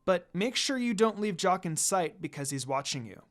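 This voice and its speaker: A man voicing an affectionate young woman in a romantic story, with a soft, earnest manner. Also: high-pitched, lively intonation, normal speed. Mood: warm, happy. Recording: music under the voice.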